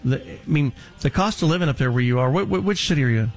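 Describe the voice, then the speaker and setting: A man's voice: on the high side, expressive, fast, soft background music. A man hosting a casual radio call-in show, bantering and giving advice in a blunt, teasing manner.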